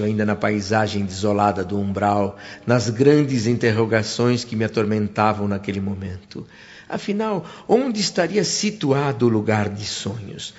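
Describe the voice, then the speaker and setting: A man's voice: on the low side, a little mellow, big-room sound. A Brazilian man acting an earnest, remorseful spirit who tells his afterlife story in a reflective, literary way for a radio drama. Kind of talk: radio drama narration and dialogue. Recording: muffled audio with music behind. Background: background music.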